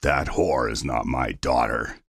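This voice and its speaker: A woman voicing an enraged, cruel middle-aged werewolf father in an audiobook, growling harsh commands in a rough, gravelly voice. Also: a bass voice, lively intonation, slow pace, darkish tone, no echo, clean studio sound, voice pushed down, exaggerated voice.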